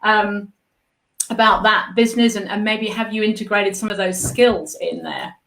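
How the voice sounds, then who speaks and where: A woman's voice: medium pitch, fairly even intonation, normal speed, vocal fry, a bit bright. An Australian woman hosting a live-streamed interview in a bubbly, upbeat, chatty way. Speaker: host and art business mentor. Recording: echoey room.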